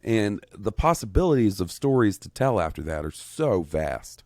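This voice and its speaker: A man in his thirties chats on a podcast in a very deep, mellow voice. He rambles, swears freely and gets worked up. The sound is dry and close. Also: normal speed, no room sound. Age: 30s. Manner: rambling, profane, excitable. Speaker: podcast co-host. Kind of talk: casual podcast chat.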